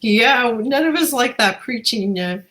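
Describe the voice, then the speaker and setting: A woman's voice: on the high side, expressive, unhurried, fairly bright tone. A woman hosting a casual interview in an encouraging, affirming manner. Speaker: interviewer and host.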